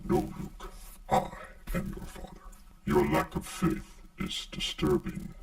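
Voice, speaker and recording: A man's voice: steady tone, slow pace, vocal fry, far from the mic, heavily acted. A man voicing a modulated, Darth Vader-like voice through a real-time voice changer and throat microphone. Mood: menacing, solemn. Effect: real-time voice changer, pitch shifted and modulated. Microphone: throat microphone through phone app and speaker.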